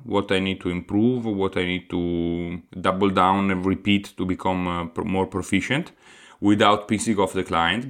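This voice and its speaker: Solo podcast host, Italian man with an Italian accent, lower-pitched, sincere and hesitant delivery. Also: fairly bright tone. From Italy. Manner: earnest, explanatory, with frequent hesitant fillers.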